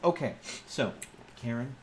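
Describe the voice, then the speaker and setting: A man's voice: mid-range voice, animated, a little slow, a little hiss or hum. A man improvising in character during a tabletop roleplaying game, speaking hesitantly and often repeating or restarting his phrases.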